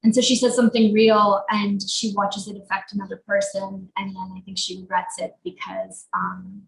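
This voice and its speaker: A woman's voice: medium pitch, expressive, normal speed, creaky. A young adult woman actor whose first language is Portuguese, talking casually in an interview with many fillers. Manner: chatty, lots of fillers like "um" and "like". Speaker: actor guest. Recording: roomy echo.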